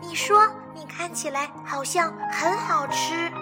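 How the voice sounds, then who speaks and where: A woman doing a very high, eager baby dinosaur. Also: a little slow. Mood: innocent. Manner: childlike, excited exclamations. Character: small baby ankylosaurus boy, sweet and eager. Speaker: voice actor. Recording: reverberant with music behind.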